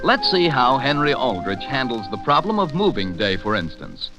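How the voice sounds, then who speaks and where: A man with an American accent doing scripted 1940s radio announcing and sponsor pitches. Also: very animated, normal speed, over music, rough recording.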